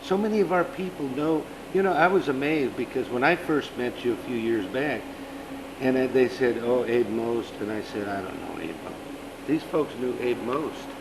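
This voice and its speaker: A man hosting a backstage interview, chatty and encouraging, his voice hoarse because he has lost it. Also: mid-range voice, moderate pace, background noise. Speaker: interview show host.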